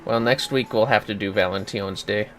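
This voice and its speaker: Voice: mid-range voice, fairly even intonation, moderate pace, a bit bright, faint music behind. A game streamer chatting casually on a livestream with playful, sweary banter. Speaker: streamer, host. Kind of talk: casual game livestream chat with role-play reading.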